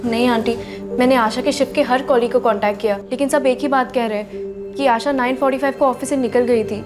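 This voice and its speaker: An actress playing a caring young woman in a TV crime serial, expressive and mixing English into her talk. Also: a little high, fast, music in the background, close to an everyday voice. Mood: worried. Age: young adult. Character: caring, anxious young woman worried about her roommate.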